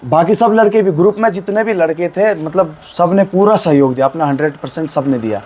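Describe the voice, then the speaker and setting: A man with a Garhwali accent, a folk singer being interviewed, talking earnestly with a hoarse voice. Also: a little high, brisk, darkish tone. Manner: plain, earnest storytelling. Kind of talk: casual interview and folk singing.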